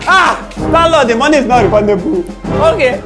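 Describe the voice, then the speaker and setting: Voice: mid-range voice, expressive, a little fast, over music, natural voice. An actor with a Nigerian accent playing a distressed tenant caretaker who laments and repeats pleas in a comedy drama. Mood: dismayed, pleading. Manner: exclaiming, repeating phrases in dismay.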